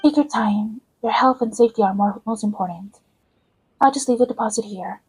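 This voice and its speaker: A woman's voice: a little high, a little fast, darkish tone, breathy edge, rough recording. A woman doing amateur video game voice acting in a polite, earnest manner. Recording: amateur recording with music behind.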